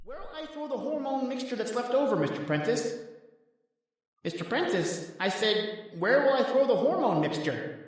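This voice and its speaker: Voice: mid-range voice, very animated, normal speed. An actor playing an insistent young assistant calling out a question in a radio horror play, heard like an echoing memory. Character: young assistant Jackson, remembered voice pestering with a question. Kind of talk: old-time radio horror acting. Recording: echoing, distant, washed-out voice.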